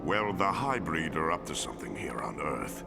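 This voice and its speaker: An actor voicing a big, courteous, grave alien officer for an animated game, speaking formally in a measured way with a very deep, weighty voice. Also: male voice, exaggerated voice. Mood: measured, serious. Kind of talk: animated action game voice acting. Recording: long reverb, clear music behind.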